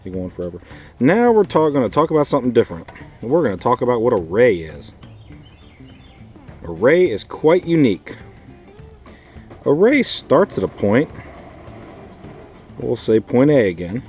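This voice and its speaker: A man's voice: mid-range voice, very animated, moderate pace, pauses often, a little mellow, soft background music. An American man teaching an informal math lesson as a schoolteacher, repeating key points and asking checking questions. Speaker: fourth grade math teacher.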